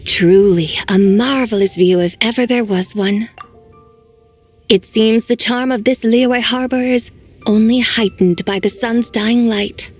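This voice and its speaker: An aloof ancient spirit. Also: female voice, a little high, fairly even intonation, measured pace, soft background music. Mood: admiring. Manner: archaic, formal phrasing, dry teasing. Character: ancient, aloof female mountain spirit, teasing and grand. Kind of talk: video game voice acting.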